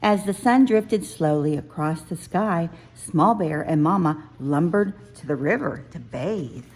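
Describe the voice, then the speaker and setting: A woman reading a children's picture book aloud as scripted narration, with expressive, deliberate phrasing. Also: low-pitched, husky.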